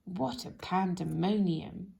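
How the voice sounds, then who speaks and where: A woman reading a children's story aloud in an expressive, animated way, speaking directly to young listeners. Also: fairly low pitch, slow, vocal fry. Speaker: storybook reader.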